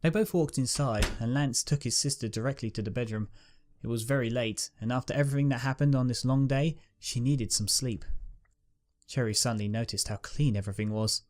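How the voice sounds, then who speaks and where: A man narrating a fan-written pony story in a calm, steady reading voice. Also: medium pitch, brisk. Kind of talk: fanfic audiobook reading. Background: guitar music.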